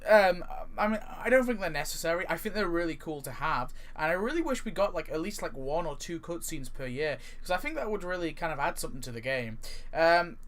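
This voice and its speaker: A man's voice: fairly high pitch, a bit bright. A man giving casual, rambling ranking commentary as a video host, often hedging and repeating himself.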